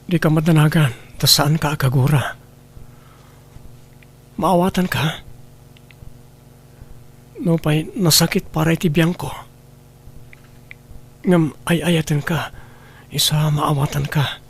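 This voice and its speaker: A man voicing a patient, loving husband in an audio drama, speaking calmly and reassuringly. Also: on the high side, takes it slowly, pauses often, husky, full character voice.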